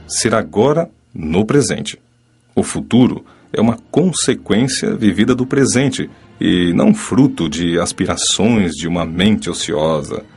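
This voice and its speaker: A male actor voices a grave but kindly spirit mentor with a Brazilian accent and a fairly low voice. He teaches in a solemn, measured way over background music, as in a dramatized audiobook. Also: darkish tone, slightly rough, full character voice.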